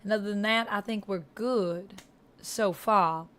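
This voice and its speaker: A woman's voice: medium pitch, a little slow, fairly bright tone. A woman hosts a casual livestream in a Georgia-flavored Southern accent that she puts on. She chats away with her viewers, addressing them by name as she answers. Her voice sits in an ordinary middle range. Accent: Southern US, Georgia, put on. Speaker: livestream host.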